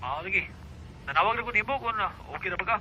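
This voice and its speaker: A friendly, concerned Filipino man on a phone in a radio drama. His voice is very high for a man, dulled by the line and clearly noisy. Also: lively intonation, fast talker. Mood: concerned, casual. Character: concerned, friendly young male drinking buddy. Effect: telephone filter. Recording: muffled, noisy phone call.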